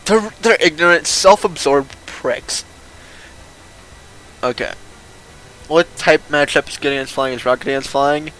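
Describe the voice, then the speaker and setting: A man's voice: fairly high pitch, lively intonation, a little slow, long pauses, a bit bright, poor audio. A young man with a lisp, chatting casually and rambling in slangy, self-mocking style as he streams a game. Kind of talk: casual livestream chat while gaming. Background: video game music.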